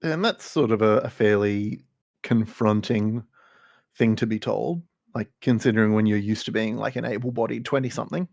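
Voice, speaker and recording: A man's voice: fairly low pitch, moderate pace, vocal fry, a little mellow, dry recording, studio quality. Wry joking Australian guy. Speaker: podcast co-host. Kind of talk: casual chat.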